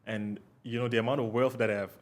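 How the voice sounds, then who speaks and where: A man's voice: mid-range voice, steady tone, fairly quick. A young man from Cameroon, a podcast guest, speaking earnestly and reflectively with many "you know" fillers. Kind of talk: casual interview talk.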